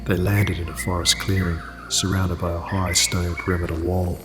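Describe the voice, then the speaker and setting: A man's voice: sits low, flat delivery, moderate pace, long reverb tail. A man delivering cinematic scripted narration for a story. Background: cinematic music.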